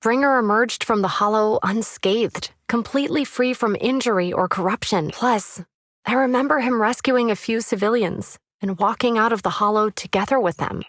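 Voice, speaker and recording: Earnest young officer voiced by a woman, measured. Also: on the high side, no echo, clean studio sound, lightly acted. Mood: serious, reflective. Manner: measured, thoughtful, slightly hesitant. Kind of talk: dramatic video game voice acting.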